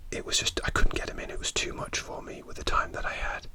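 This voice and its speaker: A man softly whispering a rambling ASMR story, with many fillers and restarts. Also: fast talker. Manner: soft, relaxed whisper throughout. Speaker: ASMR creator.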